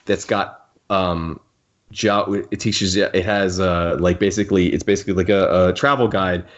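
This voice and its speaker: A man with a low voice talks casually as a podcast co-host. He rambles and cuts himself off in the easy style of a fan discussion. The sound is a little dull and lo-fi. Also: fairly even intonation, moderate pace.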